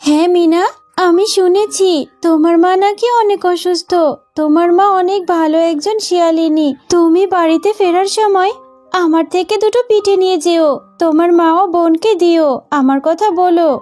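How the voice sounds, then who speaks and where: Woman voicing a kindly, high-pitched cartoon fox auntie. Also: steady tone, normal speed, darkish tone, faint music behind. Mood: kind, encouraging. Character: kind motherly fox aunt who sells rice cakes. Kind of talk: children's cartoon voice acting.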